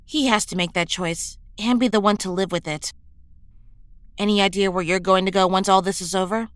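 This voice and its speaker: A woman's voice: mid-range voice, steady tone, brisk, clear, resonant tone, dry recording, natural voice. A woman playing a supportive, college-age superhero in an audio drama, talking casually and sincerely.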